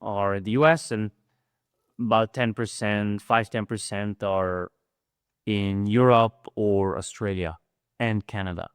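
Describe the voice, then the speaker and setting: Middle-aged male guest, earnest and fluent, low and smooth, dry close recording. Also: fairly even intonation, measured pace, no room sound. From Switzerland. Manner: earnest, fluent with many "right?" tags. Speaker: guest, fitness coach. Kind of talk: casual interview talk.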